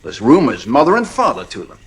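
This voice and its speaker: Middle-aged psychiatrist, husky, wry, measured delivery, very varied pitch, radio drama. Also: male voice, huge pitch swings, heavily acted. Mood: grave, frank. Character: male psychiatrist, frank, wry family friend.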